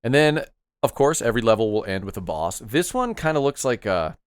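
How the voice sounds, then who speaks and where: A man's voice: mid-range voice, very animated, fairly quick, clear, resonant tone, no echo. A man hosting a podcast, chatting casually about retro games in an upbeat, agreeable way and asking his co-host questions.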